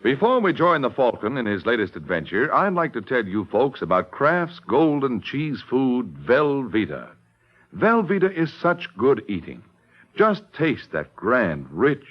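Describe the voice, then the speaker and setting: A man announcing a 1950s radio show and its sponsor with polished, folksy salesmanship. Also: medium pitch. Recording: muffled vintage radio recording.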